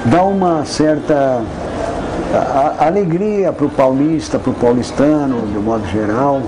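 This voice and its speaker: A man speaks slowly, with long hesitations while groping for words. Clear ambient noise and passing traffic sit behind him. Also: mid-range voice. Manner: slow, pausing, groping for words.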